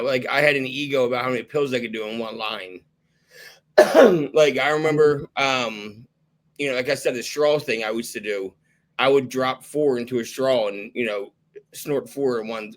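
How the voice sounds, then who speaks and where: Man, podcast host, hoarse, losing his voice, mid-pitched, loose candid chat. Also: moderate pace. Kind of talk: casual podcast conversation.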